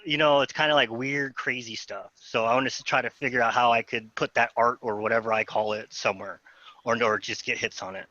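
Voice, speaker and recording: A man's voice: mid-range voice, steady tone, brisk, bright, forceful tone. A man, a student, answering casually and hesitantly with self-deprecating filler over a muffled video call. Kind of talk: casual, unscripted answer in online class.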